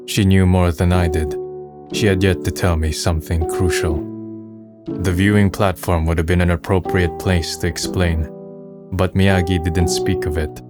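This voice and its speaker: Low-voiced, pensive young man played by an actor. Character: wry, pensive young man, melancholy and self-doubting. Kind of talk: manga dub dialogue and narration.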